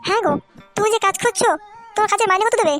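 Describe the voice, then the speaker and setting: A nagging housewife from a comedy cartoon, put on by a performer, with an extremely high voice. She scolds and lectures at breakneck speed while music plays behind. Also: racing, fairly bright tone. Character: nagging young village wife, scolding, practical. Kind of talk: comic cartoon dialogue.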